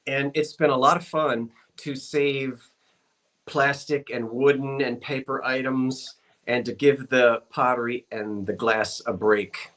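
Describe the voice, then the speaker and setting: A man's voice: medium pitch, steady tone, a little slow, a bit bright. A man hosting a casual live-stream sale over a video call, folksy and homespun in how he talks.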